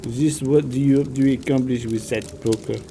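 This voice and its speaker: A man's voice: mid-range voice, fairly even intonation, moderate pace, mellow, a little hiss or hum, close to an everyday voice. A man playing a smug, falsely genial blackmailing town official in an audio drama, with pompous politeness. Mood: sly, pointed.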